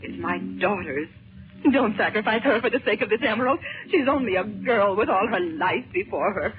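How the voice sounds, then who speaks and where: A woman's voice: medium pitch, expressive, normal speed, soft background music, lightly acted. An actress playing a desperate captive mother in a 1930s radio serial, imploring in a breathless, melodramatic way. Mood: desperate pleading. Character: desperate, pleading mother held captive, a woman. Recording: tinny, band-limited 1930s radio recording.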